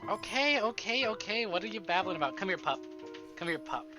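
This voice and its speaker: A man livestreaming a game with casual, expressive commentary, swearing freely and muttering to himself as he talks to chat. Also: high voice, a little fast, music in the background. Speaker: live streamer.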